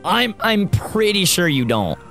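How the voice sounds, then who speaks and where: A young man with a worn, raspy voice commenting on his own gameplay, close to a studio mic at a home setup and cheering on his team loudly. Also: high-pitched, sing-song, unhurried. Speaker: gaming YouTuber. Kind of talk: Let's Play commentary. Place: home gaming setup, indoors. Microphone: studio mic close up, seen. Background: faint game music.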